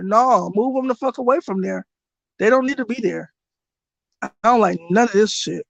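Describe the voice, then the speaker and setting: Woman livestreamer and spiritual reader, mid pitch, hoarse, blunt, swears freely. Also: dry recording, lo-fi sound. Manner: blunt, profane and animated, talks to her chat. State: losing her voice. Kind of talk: casual livestream monologue.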